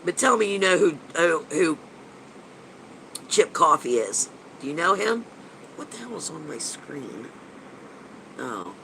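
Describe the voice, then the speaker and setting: A man's voice: fairly high pitch, big pitch swings, a little slow, gravelly, long pauses, background noise. A man in his fifties with a stuffy, nasal voice, rambling and gushing in a casual live chat with viewers as a paranormal investigator, swearing now and then. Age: 50s.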